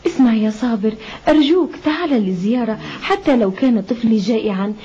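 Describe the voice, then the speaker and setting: A woman's voice: a little high, animated, quick pace, dark tone, husky. An actress playing a kindly, motherly village woman in a dubbed cartoon, firm and caring. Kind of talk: dubbed cartoon acting in formal Arabic. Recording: muffled old cartoon soundtrack with background noise.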